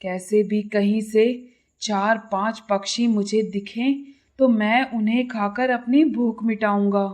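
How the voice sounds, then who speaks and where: A woman's voice: medium pitch, fairly even intonation, slow, a little mellow, pitched below the performer's own voice, natural voice. A woman voicing a menacing, hungry male monster who eats birds in a cartoon, gloating and grumbling. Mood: hungry, scheming.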